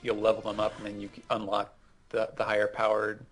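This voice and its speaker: Male co-host, lowish voice, measured casual game explainer, soft music underneath. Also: completely flat delivery. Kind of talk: casual gameplay commentary chat.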